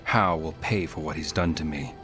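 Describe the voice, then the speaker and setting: Brooding noble fighter, deep voice, clipped and controlled, game drama, clear score underneath. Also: male voice, normal speed. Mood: grim resolve. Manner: terse, stern, controlled. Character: grim, vengeful noble young male warrior. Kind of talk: dramatic video game acting. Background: music.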